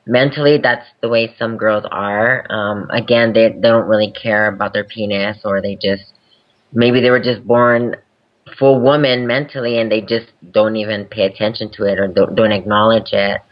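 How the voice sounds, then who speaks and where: A woman's voice: a bass voice, steady tone, normal speed. A young adult woman speaking candidly as an interview guest, laughing easily as she talks. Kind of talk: casual interview chat. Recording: dull lo-fi podcast audio.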